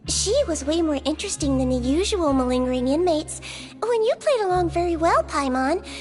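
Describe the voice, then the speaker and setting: Tiny nonhuman game nurse, extremely high sweet voice, caring, calm, matter-of-fact, music behind. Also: female voice, a little fast. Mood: playful, coaxing. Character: gentle, sweet young female Melusine head nurse. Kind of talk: video game voice acting.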